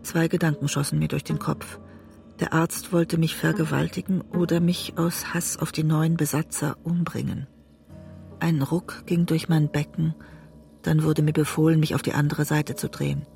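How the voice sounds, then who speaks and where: A weary, wounded writer in a radio drama. The voice sits fairly high for a man and has a gravelly creak. The delivery is introspective and restrained, with music in the production. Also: level tone, moderate pace, a little mellow. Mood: reflective, melancholy. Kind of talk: literary radio drama, introspective narration and dialogue.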